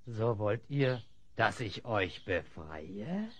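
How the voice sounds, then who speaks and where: A man's voice: on the low side, animated, extremely slow, husky, dry recording, highs cut off, heavily acted. A man playing a menacing, gloating bandit chief and slave trader with a mocking drawl in a fantasy audio drama.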